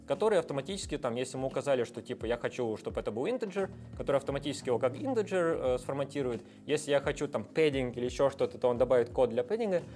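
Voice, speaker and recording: A man's voice: mid-range voice, animated, rapid-fire, few pauses, a little mellow. A man guest in a casual interview, explaining technical ideas at length with frequent hesitation sounds. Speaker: guest, software developer.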